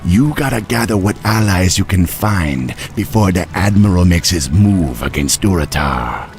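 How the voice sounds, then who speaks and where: An actor voicing a loyal, easygoing troll ally in a video game, encouraging. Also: male voice, low-pitched, animated, a little slow, a little mellow, a little hiss or hum. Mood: reassuring. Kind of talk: video game cinematic acting.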